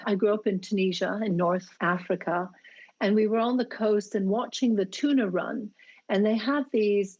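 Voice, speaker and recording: A woman being interviewed, telling personal stories in an earnest, vivid and deliberate way. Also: mid-range voice, darkish tone, lo-fi sound. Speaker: interview guest, shark conservationist. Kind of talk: reflective personal storytelling.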